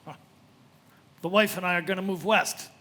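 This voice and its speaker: An actor playing an ailing, anxious working-class garage owner, pleading and bitter, in a live radio play. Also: male voice, sits high, moderate pace, bright, forceful tone. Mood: desperate, uneasy. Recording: reverberant hall of a live performance.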